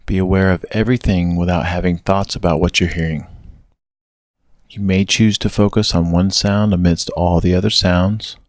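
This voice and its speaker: A low-pitched man leads a guided meditation. He gives gentle, measured instructions in a steady narrating style. The sound is dry, with very little room in it. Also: no echo.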